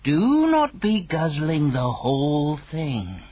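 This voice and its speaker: A woman voicing a kindly, timid male giant who coaxes gently in jumbled made-up words. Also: sits low, huge pitch swings, slow pace, phone-quality sound, voice pushed down, full character voice. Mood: coaxing.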